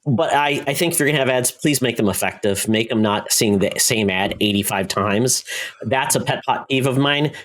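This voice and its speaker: A man's voice: medium pitch, normal speed, a bit bright. A man co-hosting a podcast, talking in a casual, conversational style. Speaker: podcast co-host. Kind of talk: casual chat.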